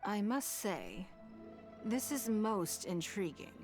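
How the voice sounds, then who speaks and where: A woman's voice: medium pitch, very animated, music in the background. Poised, articulate lady of authority. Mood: calm, in control. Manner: measured, articulate, reassuring. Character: poised, composed adult lady of authority, kindly but stern. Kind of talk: video game voice acting.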